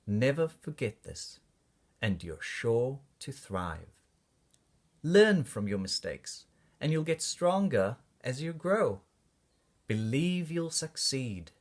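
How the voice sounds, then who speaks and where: A man reading a children's picture book aloud with expressive, deliberate phrasing. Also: medium pitch. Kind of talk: storybook read-aloud for kids.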